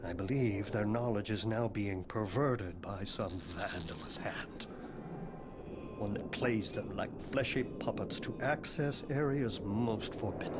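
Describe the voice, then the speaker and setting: Man playing a pompous, deadpan occult professor. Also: on the low side, heavy background noise, natural voice. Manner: formal, pedantic, deadpan. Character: pompous, morbid, bookish male occult professor, deadpan and eccentric. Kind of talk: video game cutscene acting.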